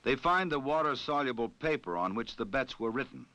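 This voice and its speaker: An American man reading scripted narration for a training film in a formal, measured, authoritative manner. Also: mid-range voice, lively intonation, sharp tone, dry recording.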